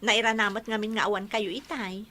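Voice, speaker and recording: A woman's voice: mid-range voice, flat delivery, brisk, bright, forceful tone, no room sound, lightly acted. A woman playing a respectful, coy young village daughter in love, in a radio comedy drama.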